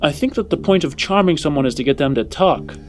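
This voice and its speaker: A man's voice: on the high side, expressive, quick pace, faint music behind, lightly acted. A man playing a hardened, blunt male enforcer in a tabletop game, stern and matter-of-fact. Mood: cold, curt.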